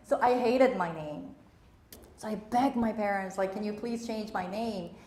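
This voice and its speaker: A woman's voice: medium pitch, unhurried, a little mellow, roomy sound. A young woman, a native Korean speaker raised in Southeast Asia, giving an emphatic motivational talk on stage and repeating her key phrases. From Korea, raised in Malaysia and Singapore.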